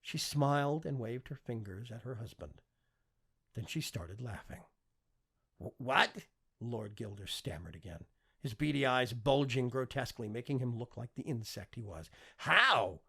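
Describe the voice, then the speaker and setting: Male audiobook narrator: dramatic and emphatic, mid-pitched husky voice, very expressive intonation. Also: normal speed. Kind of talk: dramatic scripted fiction narration.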